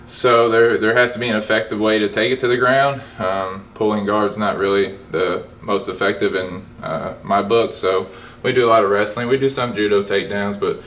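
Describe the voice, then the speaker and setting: A man in his thirties with a Kentucky accent answers questions in a laid-back, plain-spoken way. His voice sits on the low side and sounds set back from the mic, with faint hiss. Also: steady tone, moderate pace, fairly bright tone, distant mic. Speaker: gym owner and coach. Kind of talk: casual interview answers.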